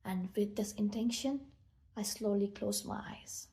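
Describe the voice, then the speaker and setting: A woman with an Indian accent and a soft-edged, mellow voice at a medium pitch. She tells a personal story to listeners in an earnest, halting way, sometimes doubling back on her words. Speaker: meditation speaker.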